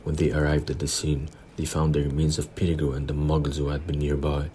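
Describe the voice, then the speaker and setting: Man playing a very deep, solemn government minister. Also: monotone, dark tone, slight background noise. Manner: measured, solemn, formal. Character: grave, pompous government minister, older man. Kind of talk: audio play acting.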